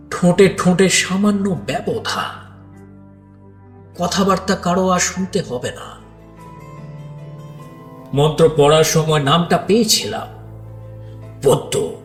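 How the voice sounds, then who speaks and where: Slow, echoey man acting a shy, emotive bridegroom. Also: high-pitched, heavily acted. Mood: tender, bashful. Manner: slow, hushed, emotive delivery with dramatic pauses. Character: shy, doubt-haunted bridegroom recounting his wedding night, tender. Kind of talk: dramatic first-person audio story narration. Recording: echoey studio reverb under clear music.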